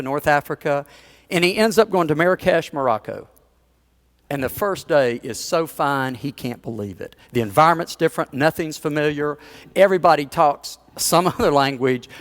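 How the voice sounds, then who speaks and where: A male pastor delivering a sermon in a conversational storytelling manner, with chuckles and playful asides to the congregation. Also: fairly high pitch.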